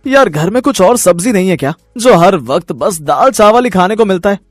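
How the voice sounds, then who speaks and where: A man's voice: high-pitched, animated, brisk, no room sound, studio quality. A man playing a fed-up grown son who works in an office, grumbling in a whiny, exasperated way in a story.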